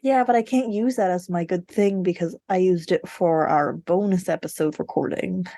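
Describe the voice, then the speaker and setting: A woman's voice: fairly low pitch, moderate pace, dark tone, no echo, clean studio sound. A woman co-hosting a podcast in casual chat, with a wry, self-mocking, joking way of talking. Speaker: podcast co-host.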